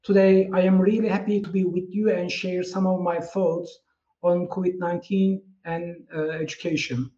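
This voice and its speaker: Man, Turkish accent, higher voice, mellow tone, conference keynote, muffled echoey room. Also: flat delivery. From Turkey. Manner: measured, reads from slides. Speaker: keynote speaker.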